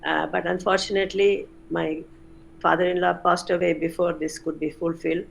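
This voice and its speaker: An older woman, a retired chemistry professor recalling her career in an interview, unhurried with frequent pauses. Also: fairly low pitch, little pitch movement, gravelly, rough recording. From India. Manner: unhurried, many "uh" pauses, self-corrects. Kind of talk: reflective interview answers.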